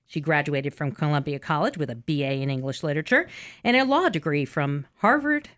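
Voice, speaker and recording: Brief: woman host, somewhat low voice with very lively pitch, introduces guest. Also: brisk. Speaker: interviewer. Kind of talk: introducing guest and asking questions.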